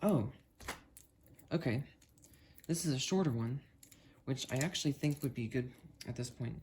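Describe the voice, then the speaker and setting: A hobbyist casually narrating an unboxing and test in a halting way, thinking aloud while working with their hands. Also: medium pitch, a little mellow. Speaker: hobbyist video creator. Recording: noisy, with clicks and handling sounds.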